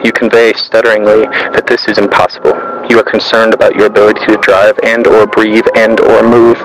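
A man narrating a scripted literary monologue, reciting in a measured, even manner with the odd small stumble. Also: fairly low pitch, noisy background.

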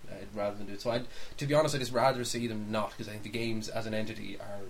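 A man's voice: fairly low pitch, steady tone, rapid-fire, a little hiss or hum. A man co-hosting a podcast, chatting casually and giving opinions in a rambling way that often doubles back on itself. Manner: rambling, backtracking, mildly sweary. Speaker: podcast co-host. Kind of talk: casual opinionated chat about films and games.

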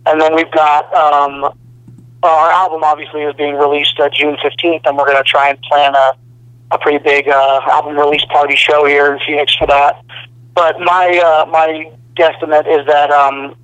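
A young man from Arizona, a band singer, talking casually in a phone interview with a low, slightly gravelly voice and lots of fillers. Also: moderate pace, no echo, lo-fi sound. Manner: relaxed, rambling, many fillers.

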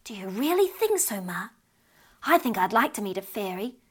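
A woman voicing a curious, stubborn young girl who asks eager questions in a high voice. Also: sing-song, normal speed, slightly rough.

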